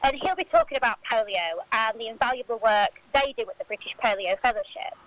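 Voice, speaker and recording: High-pitched woman, radio interview guest. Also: quick pace, fairly bright tone, dry recording, low-quality recording. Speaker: football club spokesperson, interview guest.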